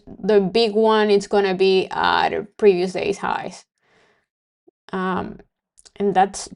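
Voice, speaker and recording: A woman's voice: mid-range voice, gravelly, a little mellow, smooth. A woman from Venezuela with a Venezuelan Spanish accent, hosting a live trading stream in casual, think-aloud commentary full of ums. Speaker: livestream trading host.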